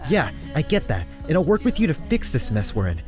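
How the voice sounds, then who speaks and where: Man acting hurt young man, very animated pitch. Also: a little fast, over music. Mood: hurt, accusing. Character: hurt, angry young man betrayed by secrets. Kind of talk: amateur roleplay voice acting.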